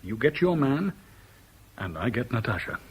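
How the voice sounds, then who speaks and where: Terse Englishman, English accent, serious, husky edge, clipped delivery, 1970s radio play. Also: mid-range voice, very animated, normal speed, poor audio. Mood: firm, businesslike. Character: respectable Englishman, terse, resolute, embittered by love. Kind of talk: 1970s British radio drama acting and first-person narration.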